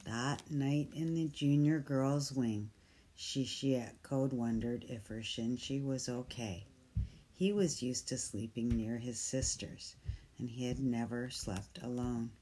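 A storyteller reading a picture book aloud, gentle and soft, sometimes dropping to a whisper. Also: mid-range voice, slow pace, a little hiss or hum, low-quality recording. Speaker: storybook reader.

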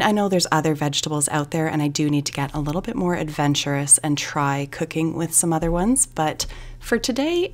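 A woman with a low voice and plenty of vocal fry talks through home cooking in a casual voice-over. She is chatty and candid, with a self-deprecating way of explaining things. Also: darkish tone.

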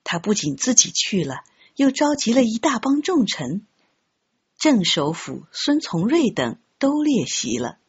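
A woman reading a novel aloud as an audiobook narrator, in an expressive storytelling style. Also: on the high side, measured pace, breathy edge. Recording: dull, muffled lo-fi audio.

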